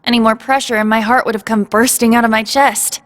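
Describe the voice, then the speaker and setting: A woman's voice: fairly high pitch, fairly even intonation, a little fast, a bit bright, big-room sound, natural voice. An actress playing a spirited, confident young female cadet in a video game cutscene. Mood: bashful, playful. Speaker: voice actor.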